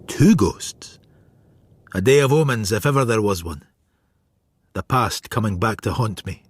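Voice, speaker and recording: An actor playing a world-weary, cynical detective who narrates his thoughts in a wry, brooding radio drama style, with a husky voice. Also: fairly low pitch, animated, measured pace.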